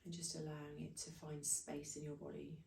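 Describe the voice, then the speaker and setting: Low, mellow woman guiding meditation, echoey and distant. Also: level tone, vocal fry, slight background noise. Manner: soothing, unhurried instruction in short phrases. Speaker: meditation and breathwork teacher. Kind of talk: guided breathing meditation. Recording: echoey room, distant from microphone.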